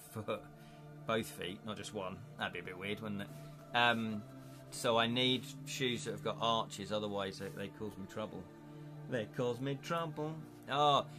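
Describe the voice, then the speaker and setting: A man with an English accent hosting a casual live stream, chatty and excitable, chuckling often as he unboxes things at home. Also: mid-range voice. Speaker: livestream host.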